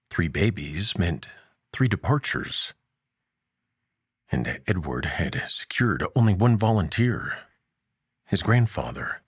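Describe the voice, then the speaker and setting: Deep gravelly male storyteller. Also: no echo, clean studio sound. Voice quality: gravelly, husky. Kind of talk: dramatic scripted fiction narration.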